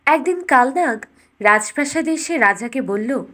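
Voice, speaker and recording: A woman with a fairly high voice narrates a fairytale. She delivers it as a scripted bedtime story, in the role of storyteller. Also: animated, measured pace, fairly bright tone.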